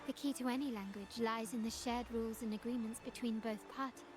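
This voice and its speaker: An actress voicing a shrewd, composed noblewoman agent in a video game, speaking in formal, measured, articulate phrases. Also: fairly high pitch, steady tone, lightly acted. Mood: composed, assured. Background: game music.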